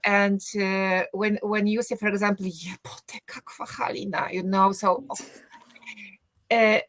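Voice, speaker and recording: A middle-aged woman, a university teacher speaking English as an in a relaxed interview, thinking aloud with many fillers and restarts and a joking tone. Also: medium pitch, a little slow. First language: Polish. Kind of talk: casual conversational English, non-native.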